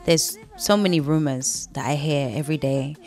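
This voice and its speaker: A young woman from London with a London accent, a singer telling stories in a casual interview, chatty and quick to laugh. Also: deep voice, darkish tone. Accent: London English. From London, England. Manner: chatty storyteller, quick to laugh, talks through restarts.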